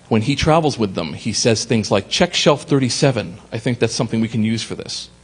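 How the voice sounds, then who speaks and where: A man's voice: mid-range voice, breathy edge. A man giving a conference talk, telling a story with profane comic asides and acted-out exchanges. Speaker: conference speaker. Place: conference hall.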